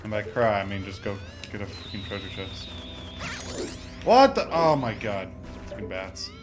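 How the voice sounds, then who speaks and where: A man's voice: fairly low pitch, expressive, normal speed. Rambling young man over game music. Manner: rambling, informal, mock-exasperated asides. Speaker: gamer, Let's Play host. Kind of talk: casual gameplay commentary. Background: video game music.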